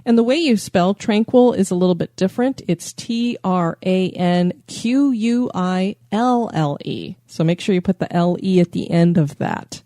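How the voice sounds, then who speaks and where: A woman hosting a podcast in casual chat, chatty and quick to laugh. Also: lowish voice, animated, mellow, no room sound, clean studio sound. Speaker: podcast host.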